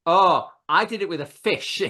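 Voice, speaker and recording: A man's voice: sits high, unhurried, bright, forceful tone. A man hosting a casual video-call cooking chat, joking about himself and laughing a lot. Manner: chatty, laughs often, self-deprecating. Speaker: host.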